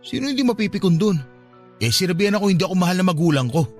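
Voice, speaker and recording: A man's voice: high-pitched, animated, very fast, soft background music. An actor playing a hurt, defensive young man confiding in his ex in a radio drama.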